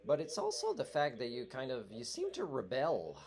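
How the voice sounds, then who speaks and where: A man's voice: medium pitch, wildly swooping pitch, normal speed, vocal fry, a crowd in the background. A man whose first language is French, talking in a rambling, earnest vlog monologue full of fillers and restarts. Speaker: vlogger. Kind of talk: rambling monologue addressed to a radio host.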